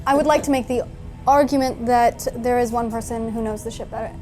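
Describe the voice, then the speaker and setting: A woman with a high voice speaks as a player in a tabletop game. She challenges the group pointedly, and music plays behind her. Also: steady tone, brisk, a little mellow. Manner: argumentative, pointed. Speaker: tabletop role-playing player. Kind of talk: in-character group discussion. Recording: background music under the voice.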